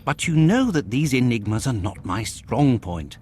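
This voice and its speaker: An actor playing an earnest, long-suffering gentleman companion in a video game, speaking politely. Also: mid-range voice, normal speed, close to an everyday voice. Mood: exasperated, pleading. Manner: polite, expressive, formal. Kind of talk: video game cutscene acting.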